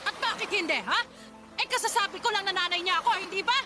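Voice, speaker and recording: A woman's voice: extremely high pitch, fast talker, over loud music, a loud crowd. A woman playing a hot-tempered, overprotective middle-aged mother-in-law in a Filipino radio drama, scolding and taunting. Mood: aggressive taunting.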